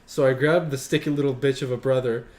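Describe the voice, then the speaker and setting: A man's voice: mid-range voice, fairly even intonation, quick pace, darkish tone. A man reading a story aloud as a show host, breaking off for casual, sweary commentary.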